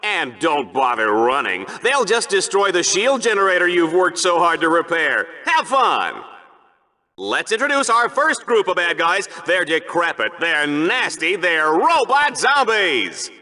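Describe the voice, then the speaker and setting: A slick video game announcer with a high, forceful voice. He is goofy and over-excited, swooping theatrically through his hyped sports commentary like a showman. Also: measured pace, exaggerated voice. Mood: showy, gleeful. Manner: showy, theatrical, hammy.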